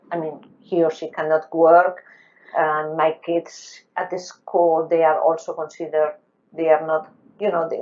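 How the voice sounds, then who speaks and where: A woman with a deep voice speaks as a guest advising younger researchers. Her delivery is emphatic and halting, with non-native phrasing. The audio is a bit dull and lo-fi, like an online call. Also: darkish tone. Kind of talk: conversational advice in a panel interview.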